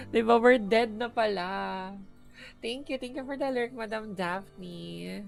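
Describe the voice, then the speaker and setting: A woman's voice: fairly high pitch, slow pace, gravelly, faint music behind. A woman with a Filipino accent, live streaming a game and reading its dialogue aloud.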